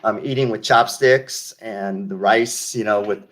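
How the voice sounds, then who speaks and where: An older man from the United States speaks in a lowish voice as an interview guest. He tells his stories slowly and reflectively, doubling back and restarting his sentences. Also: steady tone, a bit bright. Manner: reflective storyteller, many restarts and asides. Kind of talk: casual interview conversation.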